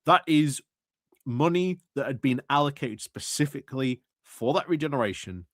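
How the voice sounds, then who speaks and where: Brief: man, stream host, rambling and sardonic, dry close sound, middle pitch. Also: lively intonation, normal speed, dry recording. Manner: rambling, repeats words, chuckles, sardonic. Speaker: stream host commenting on council meetings. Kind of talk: casual political commentary.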